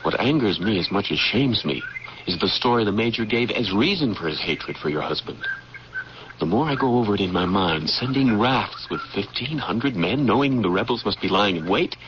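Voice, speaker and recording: Earnest, duty-bound officer, played by a man. Low voice, solemn formal radio drama acting, noisy vintage recording. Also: expressive, normal speed, lo-fi sound, natural voice. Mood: anguished, indignant. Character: earnest, dutiful military security officer.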